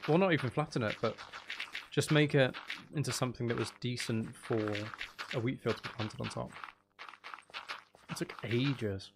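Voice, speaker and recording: British man streaming over background noise. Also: medium pitch. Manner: informal, rambling, thinking aloud. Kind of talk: casual livestream gaming chat. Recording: clear background noise with clicking.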